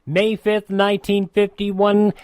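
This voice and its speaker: A man's voice: high voice, completely flat delivery, slow pace, over loud music. A man hosting a classic radio program with informal, off-the-cuff commentary. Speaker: classic radio show host.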